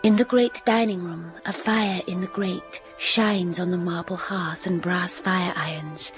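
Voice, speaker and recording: A young actress playing a quiet, restrained governess in a radio drama, narrating in a hushed voice. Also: female voice, fairly low pitch, fairly even intonation, a little slow, faint music behind, natural voice. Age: young adult. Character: plain, reserved young governess.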